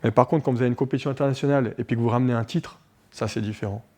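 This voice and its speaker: A man in his forties from Switzerland, a martial arts instructor talking in a local TV interview, animated and restarting often with many fillers. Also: mid-range voice, very fast. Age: 40s.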